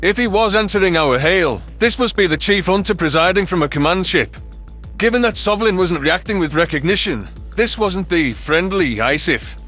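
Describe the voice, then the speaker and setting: A man's voice: sits high, lively intonation, moderate pace, fairly bright tone, soft background music. A man narrating a scripted first-person science fiction story.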